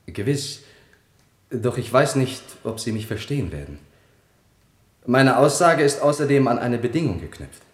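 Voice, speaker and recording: An actor playing a truth-telling servant and diarist in a German radio play, formal and deliberate with quiet irony. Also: male voice, mid-range voice, very animated, lightly acted. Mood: guarded, insolent. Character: politely insolent male servant, the ruler's truth-telling diarist. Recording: roomy, echoing studio sound.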